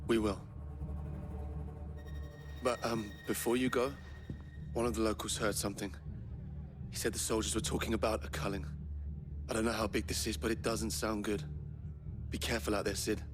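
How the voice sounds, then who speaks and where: A man playing an earnest, loyal rebel scout in a fantasy video game, steady and plain-spoken. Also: on the low side, moderate pace, long pauses, over music, lightly acted. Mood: concerned, sober.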